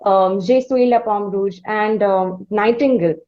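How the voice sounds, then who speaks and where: A woman's voice: mid-range voice, unhurried. Woman interviewer, effusive, slightly dull audio. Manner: effusive, rambling, hesitant. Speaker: interviewer, host. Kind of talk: conversational music interview.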